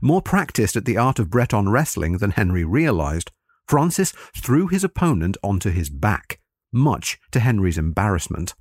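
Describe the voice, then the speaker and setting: Man lecturing with a lively, varied pitch. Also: slightly rough, no echo, studio quality. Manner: formal, measured, scholarly phrasing. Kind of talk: scripted historical lecture narration.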